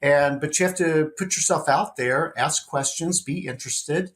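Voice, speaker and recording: A man's voice: on the high side, moderate pace, a bit bright. Upbeat man hosting an interview. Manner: upbeat, encouraging, asks friendly questions. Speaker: podcast host and interviewer. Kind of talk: conversational interview.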